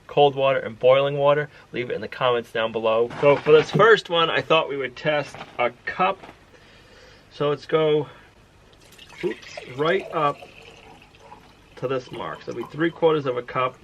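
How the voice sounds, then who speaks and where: A man's voice: on the high side, moderate pace, a bit bright, lo-fi sound, a little hiss or hum. A man narrating a casual homestead vlog, chatty and explaining each step as he goes. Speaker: homestead vlogger. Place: outdoors on a farm in winter.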